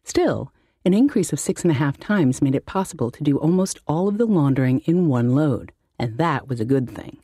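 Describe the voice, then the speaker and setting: A woman's voice: sits low, animated, moderate pace, mellow, slightly rough, no room sound, clean studio sound. A woman working as an audiobook narrator, reading a scripted story.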